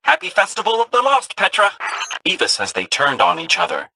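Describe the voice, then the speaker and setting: An actor playing a wry, easygoing character in a sci-fi video game. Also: male voice, sits high, wildly swooping pitch, brisk, vocal fry, noisy background, exaggerated voice. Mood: wry, chatty. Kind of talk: scripted video game voice acting.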